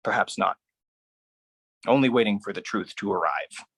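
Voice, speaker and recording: A performer delivering a theatrical spoken-word poetry monologue in a dramatic, deliberate, heavily emphatic manner. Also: low voice, creaky, fairly bright tone, slightly rough.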